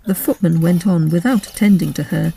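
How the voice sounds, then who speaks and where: A woman's voice: lowish voice, fairly quick, gravelly, dark tone, a little hiss or hum, poor audio. A woman narrating a story expressively, as if reading a storybook aloud.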